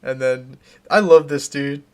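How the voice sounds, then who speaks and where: A man's voice: medium pitch, unhurried, darkish tone, clean tone. A man streaming live, casually chatting and reading out viewer comments, rambling and restarting his sentences.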